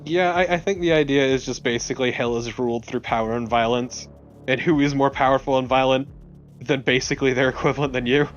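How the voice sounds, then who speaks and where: A man's voice: mid-range voice, fairly even intonation, fairly quick, dull audio, faint music behind. A man co-commentating on a video game in casual, wry, sweary chat. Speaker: let's play co-commentator.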